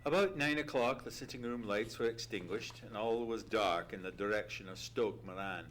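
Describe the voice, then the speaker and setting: A man playing an earnest gentleman sidekick who narrates a mystery with theatrical, deliberate storytelling in an old-time radio play. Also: lowish voice, over loud music, close to an everyday voice. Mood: measured suspense. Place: theatre stage. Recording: humming, noisy live theatre recording.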